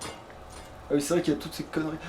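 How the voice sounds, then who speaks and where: A man's voice: fairly high pitch, flat delivery, fairly quick, darkish tone, recorded from a distance. A man giving casual, grumbling, sweary commentary while playing a video game. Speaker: let's player. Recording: clear background noise, game ambience.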